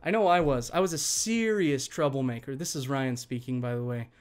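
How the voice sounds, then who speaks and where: A man working as a channel host, reading online stories aloud in an expressive, conversational way and speaking directly to listeners. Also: medium pitch, fairly quick. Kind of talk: reading online stories aloud, scripted narration with chatty asides.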